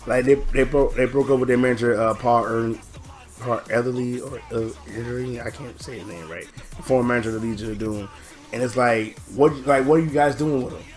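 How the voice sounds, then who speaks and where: A man talks through a casual reaction video on his own channel, his voice hoarse and worn. He rambles, stalls on fillers and restarts his sentences at an ordinary mid pitch. Faint music sits underneath him. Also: brisk, darkish tone. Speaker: channel host.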